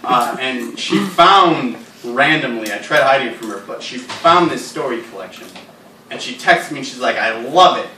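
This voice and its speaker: A man giving a live reading of stories and poems in a wry, deadpan manner, delivering absurd lines seriously. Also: mid-range voice, normal speed, slight background noise. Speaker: writer reading his own work aloud. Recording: roomy echo, distant mic.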